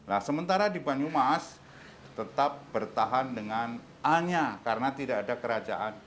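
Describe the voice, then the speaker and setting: A man interviewed for a documentary, speaking deliberately with hesitant fillers. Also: medium pitch, expressive, a bit bright, a little hiss or hum. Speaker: interviewee, cultural figure.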